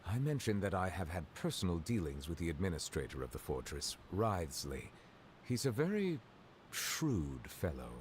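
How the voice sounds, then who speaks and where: A very low-voiced, stately male judge from a video game. He is formal, reserved and deliberate, with faint noise behind him. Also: very animated. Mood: cautionary. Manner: formal, deliberate, courtly wording. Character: dignified, reserved male chief justice, measured and formal.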